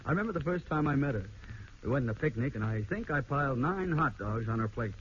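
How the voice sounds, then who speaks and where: A man's voice: mid-range voice, expressive, quick pace, close to an everyday voice. A man playing a frank, good-natured husband with troubles on his mind, in an old-time radio drama. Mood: playful. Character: plain-spoken, rueful husband, kindly but troubled. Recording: dull, noisy old radio recording.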